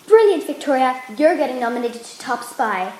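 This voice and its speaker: A woman's voice: sits high, sing-song, normal speed. A woman acting a bossy spy directing her partner in an amateur radio drama. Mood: commanding. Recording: echoey, noisy amateur recording.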